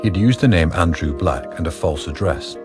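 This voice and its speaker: A man narrating a radio drama from a script in a measured, factual way. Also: sits low, animated, music in the background.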